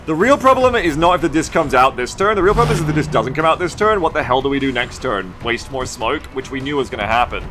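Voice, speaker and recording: A man's voice: mid-range voice, expressive, quick pace, hardly any pauses. A man live streaming a video game, thinking aloud in a wry, rambling, sweary way. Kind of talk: casual live gameplay commentary. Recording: music and game sound behind the voice. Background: tactical video game audio and music.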